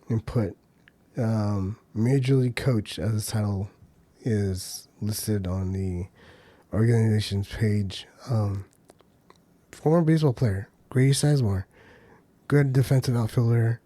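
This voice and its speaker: A man's voice: lowish voice, warm tone, dry recording. A man hosting a podcast, talking through the week's news in a loose, conversational way with many ums and uhs. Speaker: podcast host. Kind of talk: solo casual commentary.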